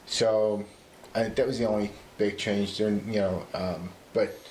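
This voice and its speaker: A man's voice: fairly low pitch, steady tone, a little slow, darkish tone, a little hiss or hum. A man from Mexico, chatting casually as a guest on a live stream, easygoing and full of fillers. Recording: roomy echo.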